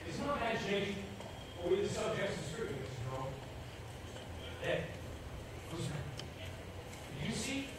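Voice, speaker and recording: An actor playing a polite, uneasy young English solicitor in a stage play, with halting, formal speech. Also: male voice, high-pitched, very animated. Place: indoor stage, recorded from afar. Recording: echoey stage hall, distant mic, noisy.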